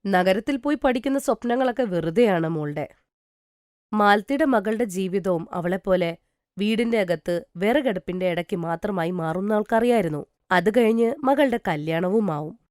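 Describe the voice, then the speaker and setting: A female storyteller narrates a cartoon tale from a script. Her pitch is average for a woman, and the sound is dry without room echo. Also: normal speed, a little mellow.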